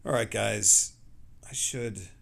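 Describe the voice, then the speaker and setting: A middle-aged man who sounds tired, chatting casually on a livestream in a rambling, halting way and trailing off mid-sentence. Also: lowish voice, vocal fry, a bit bright.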